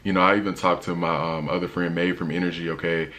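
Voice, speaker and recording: A young man with a deep voice, talking casually in a travel vlog with a laid-back, slangy manner and lots of fillers. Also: flat delivery, quick pace, pushed and bright. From United States. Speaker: travel vlogger.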